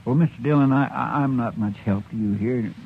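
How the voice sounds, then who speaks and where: An actor playing a loyal, bashful frontier deputy in a 1950s radio drama, stammering and deferential. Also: male voice, medium pitch, brisk, slight background noise, lightly acted. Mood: anxious. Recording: dull vintage 1950s radio recording.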